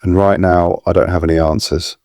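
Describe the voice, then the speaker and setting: A low-pitched man as a kindly, shaken reluctant rescuer in an audio drama. He mutters hesitantly and offers reassurance, in a dry, close recording. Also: little pitch movement, fairly quick, darkish tone, no room sound. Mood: flustered.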